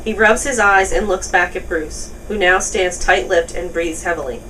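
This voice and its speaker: A woman's voice: lowish voice, moderate pace, sharp tone. A woman reading stage directions as scripted narration at a table read. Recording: distant mic, noisy room.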